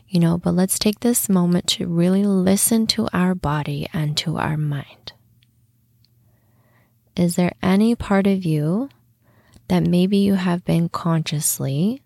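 A woman hosting a guided meditation, speaking in a soothing, unhurried manner with long pauses and softly breathed phrase endings. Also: lowish voice, gravelly, no echo, studio quality. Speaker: podcast host and meditation guide.

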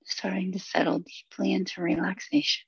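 Gravelly, even-toned woman guiding meditation. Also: medium pitch, no echo, highs cut off. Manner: soothing, unhurried instruction with short phrases and long pauses. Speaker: meditation guide. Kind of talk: guided relaxation meditation.

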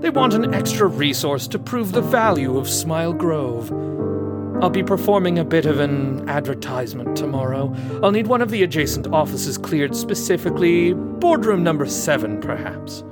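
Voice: medium pitch, expressive, natural voice. An actor as a smug, swooping, theatrical doctor. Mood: brisk, scheming. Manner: condescending, mock-polite, drawn-out theatrical phrasing. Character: smug, theatrical, manipulative doctor running sinister institution. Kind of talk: audio drama dialogue. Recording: loud music under the voice. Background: music.

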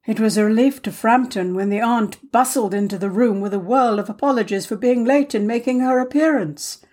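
A woman narrating a short story as a reader, measured and expressive, with dramatic pauses. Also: on the high side. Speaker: audiobook reader.

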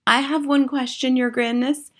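A woman's voice: sits high, steady tone, moderate pace, fairly bright tone, pitched above the performer's own voice. A woman voicing witch underlings in an audiobook, theatrical and exclamatory. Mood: fawning. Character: clamouring witches of the audience, fawning underlings. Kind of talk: audiobook character acting.

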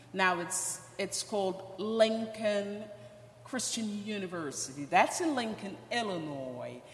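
An older woman with a Guyanese accent, giving a personal testimony to a congregation in a measured, halting way with deliberate pauses. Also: fairly low pitch, a little hiss or hum. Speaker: church guest speaker sharing personal testimony. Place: church stage in a large room. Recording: echoey church hall with long reverb.

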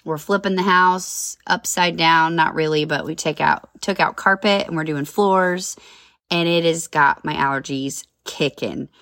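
A woman's voice: deep voice, a little slow, a bit bright, dry recording, clean studio sound. A woman in her thirties hosting a casual solo podcast, chatty and upbeat with lots of asides, sounding a little nasal and congested. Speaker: podcast host.

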